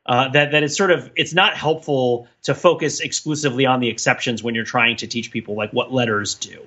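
Guy podcast cohost, bright voice, rambly explainer, keeps going "right?", bit muffled audio. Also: medium pitch, brisk, husky. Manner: rambling, digressive explaining, often checking with "right?". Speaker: podcast co-host. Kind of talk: casual discussion.

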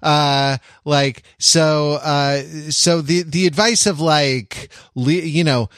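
A man's voice: a little high, slow pace, fairly bright tone, no room sound, studio quality. A man hosting a casual podcast discussion in a reflective, wry way, restating phrases as he feels his way through a thought. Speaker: podcast host.

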